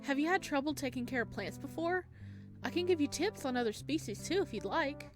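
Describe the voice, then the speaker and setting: A woman's voice: sits high, animated, fairly quick, music in the background. A woman voicing a shy, kind young male flower shop clerk from a visual novel, polite and eager to help. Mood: helpful. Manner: polite, helpful, eager about plants.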